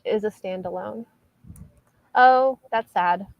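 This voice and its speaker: A woman's voice: mid-range voice, slow pace, vocal fry, lo-fi sound. A woman chatting casually about books as a livestream co-host, in a hesitant, rambling way sprinkled with "like". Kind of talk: casual book chat.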